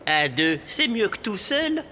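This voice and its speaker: A man's voice: high-pitched, very animated, a little slow, pushed and bright, a little hiss or hum, exaggerated voice. A man voicing a chummy knitting animal in a children's cartoon, in a long hollow reverb. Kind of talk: children's cartoon dubbing in French.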